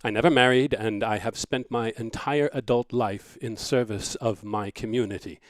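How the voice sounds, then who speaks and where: A man playing a mild, earnest minister reading out a personal letter in an audio drama, in a plain and measured way. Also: medium pitch, steady tone, no echo, close to an everyday voice. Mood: earnest, subdued. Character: Mike, mild, earnest minister writing about his life.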